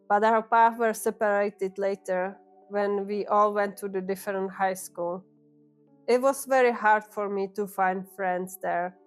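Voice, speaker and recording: A woman in her thirties from the Czech Republic, with a Czech accent, calmly and earnestly telling her own story to camera. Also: medium pitch. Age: 30s. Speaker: vlogger. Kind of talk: reflective personal storytelling.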